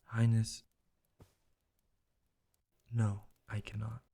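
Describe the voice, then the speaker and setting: A man playing a devoted, guilt-ridden knight in a fantasy ASMR roleplay, speaking formally and earnestly with a gravelly voice. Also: on the low side, monotone, extremely slow, mellow. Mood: worried, agitated. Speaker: roleplay audio performer. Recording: roomy echo, faint noise, clicks.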